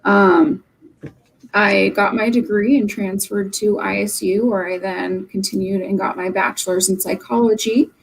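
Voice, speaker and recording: A woman's voice: medium pitch, fairly even intonation, measured pace, gravelly, slightly rough, low-quality recording. A young woman from Oregon, an admissions counselor, answering interview questions earnestly from her office over a video call, with frequent um's. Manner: earnest, fillers of um between points.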